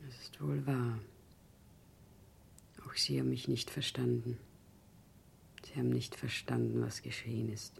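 A woman's voice: very deep voice, steady tone, measured pace, gravelly, long pauses, husky, full character voice. An actress playing a weary, fervent woman of the people on a crusade in a German radio play, solemn and impassioned. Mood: weary, resolute.

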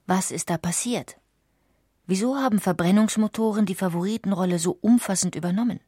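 A woman reads scripted documentary narration, articulating clearly as she explains. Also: mid-range voice, a little fast, dark tone, no echo, studio quality.

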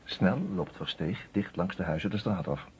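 A man's voice: sits low, moderate pace. A man with a Netherlandic Dutch accent narrating a radio play from a script, telling it with suspense. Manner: suspenseful storytelling. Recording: muffled, slightly noisy old radio recording.

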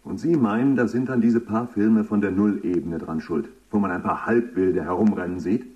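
An actor playing a cool, dismissive technocrat in a dystopian radio drama, curt and matter-of-fact, in slightly muffled sound. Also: male voice, on the low side, normal speed, dark tone, lightly acted. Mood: scornful. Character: cool, dismissive male technocrat in charge of control. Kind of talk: German radio drama acting.

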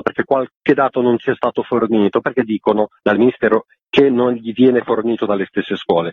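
A man's voice: medium pitch, husky, no room sound. A man explaining things in long, detailed sentences as an interviewed association spokesman, speaking over a remote call. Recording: muffled phone-like line.

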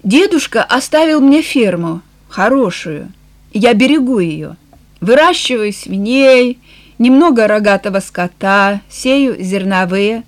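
A woman voicing a weary, frank male farmer nearing sixty in an audiobook, plain-spoken and measured. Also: mid-range voice, lively intonation, close to an everyday voice. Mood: resigned, frank. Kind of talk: audiobook character dialogue.

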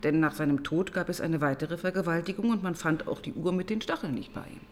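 An actress playing a composed, probing police detective who narrates and talks through the case in a measured, matter-of-fact way in a crime radio play. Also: female voice, lowish voice, darkish tone, close to an everyday voice. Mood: analytical, skeptical. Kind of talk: German crime radio play, dialogue and first-person narration.

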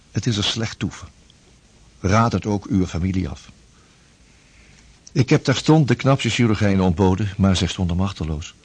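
An actor playing a devout, grieving Dutch sea captain in a period radio drama, solemn, formal and halting, with a husky voice. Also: male voice, low-pitched, darkish tone, full character voice.

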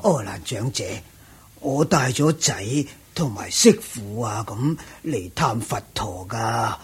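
An actor playing a kindly, courteous elder in a radio drama, speaking considerately and without hurry. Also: male voice, mid-range voice, lively intonation, husky, exaggerated voice. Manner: unhurried, courteous. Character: kindly, courteous male elder visiting with his family. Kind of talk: Cantonese radio drama acting.

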